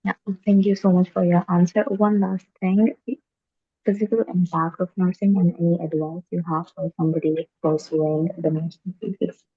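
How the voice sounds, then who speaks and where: A woman asking questions on a video call, polite and quick to thank the speaker. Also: lowish voice, level tone, breathy edge, no echo. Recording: dry tinny call audio.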